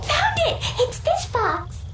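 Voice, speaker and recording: A woman voicing an excitable, cheerful little girl adventurer in an anime video game. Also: extremely high pitch, takes it slowly, noisy background, heavily acted. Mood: excited. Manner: bubbly, exclamatory. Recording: game audio with music, distant and echoey.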